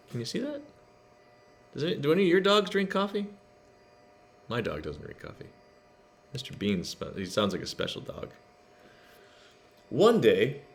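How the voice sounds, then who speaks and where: A man reading a storybook aloud to children, expressive, with chatty asides and questions to the listeners. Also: a little high, vocal fry, slight background noise. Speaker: storybook reader.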